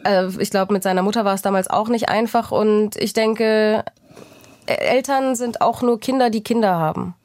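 A woman's voice: medium pitch, normal speed, a little mellow, no echo, clean studio sound. A young adult woman speaking as an interview guest, reflective and hesitant, often restarting her sentences. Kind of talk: candid personal conversation.

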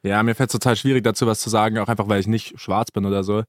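A man's voice: fairly low pitch, fairly even intonation, quick pace, no room sound, clean studio sound. A man in his early twenties talking casually as a podcast co-host, in colloquial speech sprinkled with English loanwords. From Germany. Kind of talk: casual conversational podcast chat.

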